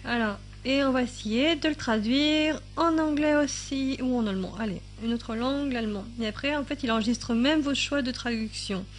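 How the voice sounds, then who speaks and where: A woman with a fairly high voice talks through a screen-recorded tutorial at her computer. She chats loosely, filling pauses with hesitations and thinking aloud, and sometimes sounds out subtitles in other languages. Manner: chatty, thinks aloud with many fillers. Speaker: YouTube tutorial maker.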